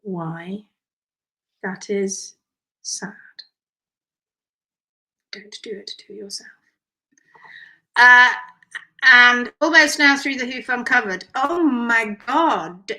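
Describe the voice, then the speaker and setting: A woman hoof care teacher whose voice is croaky and hoarse from strained vocal cords, yet still bright and forceful. She sits a little high in pitch and talks in an animated, emphatic way, with quick asides as she reads out viewer comments. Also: poor audio. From United Kingdom. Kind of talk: live session chat, reading viewer comments.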